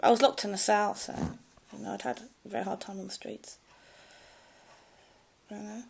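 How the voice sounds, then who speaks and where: A woman with a posh English public school accent and a slight clipped American edge, telling her own story in a loud, rambling way with many hesitations. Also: mid-range voice, fairly even intonation, normal speed, gravelly, slight background noise. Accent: posh English public school with a slight American Ivy League clip. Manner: hesitant, many fillers and restarts. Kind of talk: rambling personal monologue.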